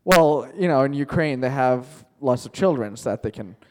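A man's voice: mid-range voice, animated, normal speed, big-room sound. A male teacher telling a playful made-up story to his class, chatty and joking, calling on students by name.

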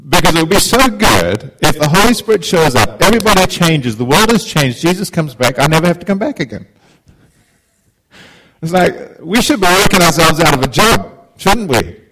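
A man's voice: a little high, very animated, moderate pace, a bit bright, rough recording. Heartfelt man preaching casually. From Zimbabwe. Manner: heartfelt, conversational, repeats words when starting phrases. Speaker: guest preacher. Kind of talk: informal sermon.

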